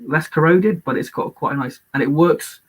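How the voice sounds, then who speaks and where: A man hosting a live-stream and chatting casually about his collection. He rambles enthusiastically with many fillers and is losing his voice, so he sounds a bit hoarse. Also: on the high side, normal speed.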